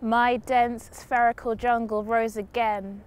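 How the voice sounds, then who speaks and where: A young woman recites poetry in a fairly high, clear voice. She takes it very slowly, each phrase measured. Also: little pitch movement. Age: young adult. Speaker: spoken word poet.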